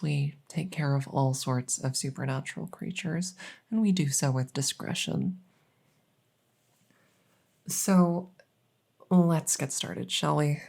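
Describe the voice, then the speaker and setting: A woman playing a polite, hesitant, matter-of-fact night vet in an ASMR audio roleplay, with a creaky voice. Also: low voice, a little mellow, exaggerated voice. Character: soft-spoken, polite night vet receiving a supernatural patient.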